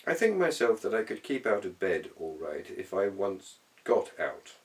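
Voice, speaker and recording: A man with a fairly low voice reads literary prose aloud as a storyteller. His delivery is theatrical and wry, with measured pauses for effect. He sounds some distance from the microphone. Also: big pitch swings. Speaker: audiobook reader. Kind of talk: expressive literary storytelling, reading a humorous essay.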